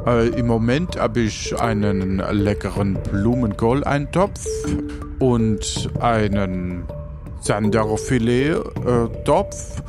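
A man playing a hospitable but easily offended man-eater in an improvised audio drama, speaking formally and haltingly. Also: fairly low pitch. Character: touchy, hospitable male man-eater serving dubious food. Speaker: game master.

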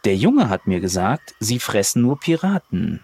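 A man's voice: lowish voice, big pitch swings, moderate pace, darkish tone, breathy edge, dry recording, full character voice. A man playing a crafty, persuasive old craftsman in a tabletop game. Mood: cautious.

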